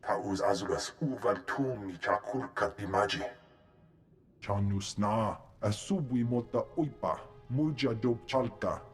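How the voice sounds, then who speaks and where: Guy doing a greedy alien gangster for a game, really low voice, made-up alien language, echoey. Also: faint music behind, lightly acted. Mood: scheming. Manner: slow, deliberate delivery in an invented alien language. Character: scheming greedy alien crime boss speaking alien tongue. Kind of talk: video game cutscene acting.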